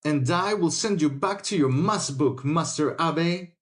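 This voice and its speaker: A woman reading an audiobook, voicing a hot-tempered young Gascon swordsman who is proud and quick to take offence. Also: low voice.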